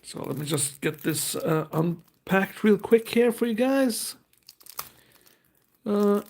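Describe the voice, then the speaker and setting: A man's voice: fairly high pitch, slight background noise. A man, hosting a casual unboxing and reading card text aloud in a hesitant, thinking-aloud way. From Norway. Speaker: host.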